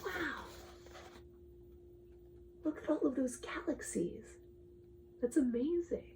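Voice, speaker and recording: A woman reading aloud to a child with chatty asides, expressive and full of wonder, often dropping to a whisper. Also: sits high, slow pace, a little hiss or hum, low-quality recording.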